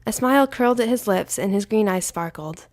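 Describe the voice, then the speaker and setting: Woman narrating a story, mid-pitched. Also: lively intonation, fairly quick. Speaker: amateur audiobook narrator.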